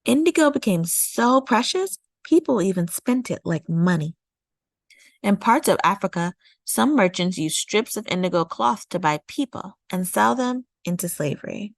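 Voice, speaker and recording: A woman narrating a children's nonfiction picture book as a storytime reader, with measured, deliberate phrasing. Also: medium pitch, lively intonation, creaky, no echo. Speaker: storytime read-aloud host.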